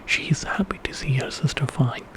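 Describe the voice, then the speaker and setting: A man telling a bedtime story in ASMR style, in a breathy whisper and an informal, conversational way. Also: moderate pace. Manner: informal retelling with quoted dialogue.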